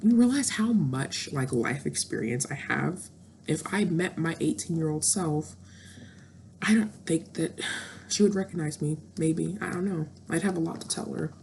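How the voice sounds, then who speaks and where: A woman's voice: low-pitched, animated, moderate pace, gravelly, a little mellow, slight background noise. A woman in her thirties talking candidly and introspectively in a reflective personal monologue, with frequent fillers. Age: 30s. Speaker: vlogger.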